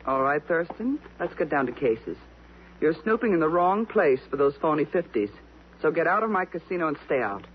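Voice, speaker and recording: A woman's voice: low-pitched, sing-song, moderate pace. Woman playing hard-boiled lady boss, curt n tough, muffled old radio w faint hiss. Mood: hard, defiant. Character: tough, bossy American female casino owner. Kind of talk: 1950s radio drama acting.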